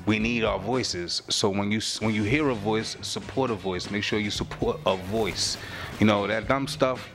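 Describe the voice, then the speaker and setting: A middle-aged man with a lowish voice speaks earnestly about his craft, filling his talk with "you know what I'm saying". He sits on a couch in a lounge and talks into a handheld mic. Loud music plays behind him. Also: normal speed. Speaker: rapper, interview guest. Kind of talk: casual interview chat. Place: lounge room on a leather couch. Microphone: handheld mic held by interviewer.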